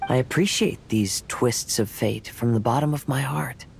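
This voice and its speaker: A man voicing a gentle, reflective young wandering swordsman in a video game, soft-spoken, calm and polite. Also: medium pitch, gravelly, soft background music, natural voice. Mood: serene. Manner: calm, polite, measured phrasing. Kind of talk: video game character voice acting.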